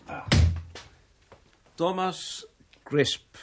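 An actor playing an earnest vicar in a radio play, husky and often searching for words. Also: male voice, a little high, steady tone, drawn-out words, music in the background. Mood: searching, hesitant. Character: earnest, hesitant male vicar, new to his parish. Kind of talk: Dutch radio play acting.

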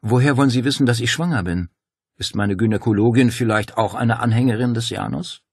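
Man voicing a defiant young woman, pitched up. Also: brisk, creaky, breathy edge, no echo, clean studio sound. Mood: challenging. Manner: sharp, scornful, accusing. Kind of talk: dramatic audiobook dialogue.

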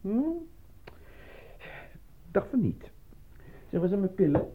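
A man's voice: sits high, animated, takes it slowly, breathy edge, heavily acted. A man acting as a weary, skeptical husband in a radio drama, talking in a colloquial, wry way. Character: weary, skeptical unemployed husband, former salesman. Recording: thin phone-like old radio recording.

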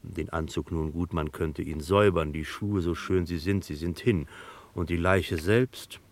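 An actor playing a devoted, naive and excitable henchman in a vintage radio crime play, deferential and gushing. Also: deep voice, a little slow, no room sound. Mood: doubtful. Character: devoted, naive, excitable male henchman, starry-eyed and eager.

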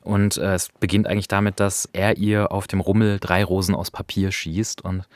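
A man hosts a culture podcast in a reflective, conversational manner. His voice sits on the low side. The sound is dry, with next to no room on it. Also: level tone, moderate pace, dry recording. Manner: reflective, analytical, explanatory. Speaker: podcast host. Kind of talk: conversational discussion of radio drama.

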